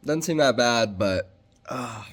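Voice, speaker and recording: Congested man, clearing his throat. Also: mid-range voice, animated, slow pace. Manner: casual, talks to viewers as guys.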